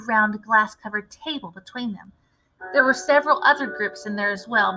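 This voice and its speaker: A woman's voice: a little high, pushed and bright, low-quality recording. A woman narrating a children's novel aloud as a teacher would for a class.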